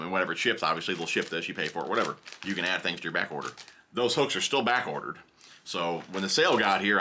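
A man's voice: low voice, pushed and bright, slight background noise. A man in his thirties chatting casually through a fishing tackle haul, rambling and thinking aloud at his desk in a small indoor tackle room. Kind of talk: casual unboxing haul video.

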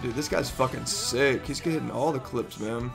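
A hoarse young man in his twenties, with his voice nearly gone, giving excitable, swear-filled reaction commentary from a headset in his bedroom. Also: medium pitch, normal speed, music in the background. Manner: excitable, swears often, stammers and laughs. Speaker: YouTuber reacting to a gaming montage. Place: bedroom indoors. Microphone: over-ear headset.

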